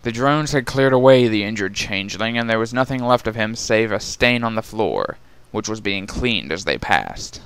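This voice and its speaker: A man's voice: lowish voice, lively intonation, normal speed, hardly any pauses, fairly bright tone, clean tone, no room sound. A man narrating a dramatic reading of a fantasy fan fiction story.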